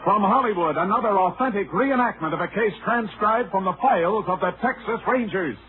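A man's voice: high voice, fairly quick, music in the background. A man announcing a radio program in a formal 1950s broadcast style. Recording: tinny old radio broadcast recording.

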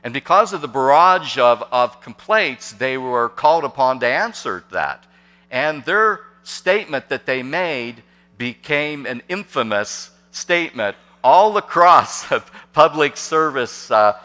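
A man's voice: mid-range voice, lively intonation, a little slow, sharp tone. A man in his sixties preaching a sermon from a pulpit microphone in a large church, hesitant and full of fillers, asking the congregation questions. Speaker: pastor. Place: church sanctuary, large and indoors. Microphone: podium microphone on a gooseneck. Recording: long church reverb.

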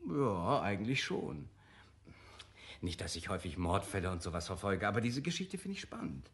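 Redseliger, lebhaft betonender Gentleman. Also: deep voice, sing-song, moderate pace. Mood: eager, chatty. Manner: emphatic, theatrical, chatty. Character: chatty, opinionated gentleman, excitable amateur sleuth. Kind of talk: German radio crime drama acting.

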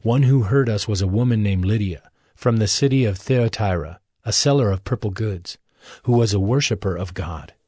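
A man narrating scripture as a scripted audio drama, in a measured, formal manner. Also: on the low side, a little mellow, slightly rough, dry recording, clean studio sound. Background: music.

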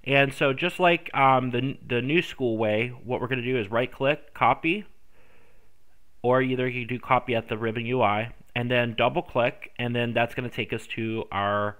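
A man's voice: medium pitch, fairly even intonation, normal speed, fairly bright tone, clear, resonant tone. A congested man hosting a screen-recorded tech tutorial, rambling with many ums and self-corrections. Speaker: tutorial host.

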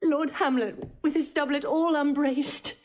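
A woman's voice: high-pitched, fairly even intonation, a little slow. An actress playing a frightened, obedient young noblewoman, breathless and distressed, in a classical Shakespearean audio drama.

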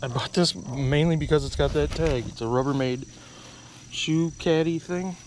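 A man's voice: on the high side, normal speed. A middle-aged man outdoors by his car, casually talking through his haul as a reseller, thinking aloud and often unsure what things are worth. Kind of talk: casual vlog narration. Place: outdoors by a car trunk, rural. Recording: outdoor noise with insects.

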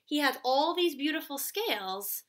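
A woman in her twenties, a teacher reading aloud and explaining a story to children in a deliberate way. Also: sits high, expressive, a bit bright. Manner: deliberate, explanatory, checks in with "right?". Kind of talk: children's read-aloud lesson. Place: small room at home, indoors.

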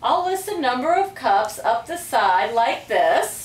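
A woman's voice: a little high, sing-song, a little slow. A woman voicing an eager, organized girl in a storybook, announcing things proudly. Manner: announcing, exclaiming. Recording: roomy, distant microphone.

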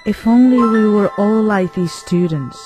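A man's voice: sits high, unhurried, over music. A man giving an earnest scripted documentary voice-over. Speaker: documentary narrator.

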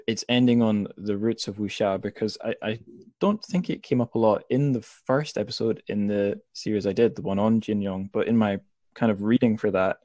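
A man's voice: fairly low pitch, mellow, no room sound, studio quality. A man hosting a conversational podcast interview, thoughtfully rephrasing his questions as he goes.

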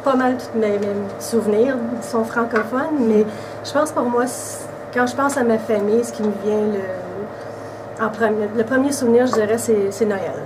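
Interviewee: woman in her 40s, québécois accent, relaxed chat, distant camera mic, hissy room. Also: on the high side, moderate pace. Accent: Québécois French. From Quebec, Canada. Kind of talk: casual interview answers. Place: indoors by a brick wall.

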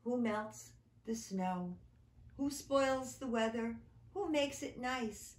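Storybook: woman reading a shy male mouse poet, soft and unhurried, in an echoey room. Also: fairly high pitch. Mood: recited. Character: dreamy, gentle young male field mouse poet. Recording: roomy echo.

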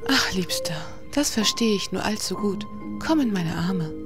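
An actress playing the gentle, pleading ghost of a dead lover in a radio drama, consoling and breathy at times. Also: female voice, mid-range voice, expressive, normal speed, over music, lightly acted. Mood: tender.

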